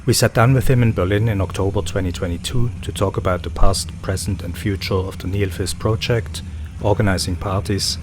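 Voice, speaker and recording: Deep-voiced man reading an introduction. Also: a little fast, slight background noise. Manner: steady, scripted reading. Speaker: interviewer and narrator. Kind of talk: read introduction, then interview questions.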